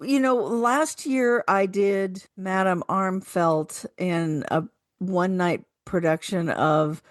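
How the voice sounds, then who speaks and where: A woman's voice: fairly low pitch, takes it slowly, dry recording, studio quality. An older woman, a retired actress, talking casually as a radio co-host.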